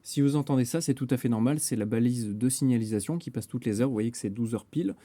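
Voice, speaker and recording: A man giving a casual software tutorial as a network administrator, explaining step by step with some hesitation. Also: mid-range voice, quick pace.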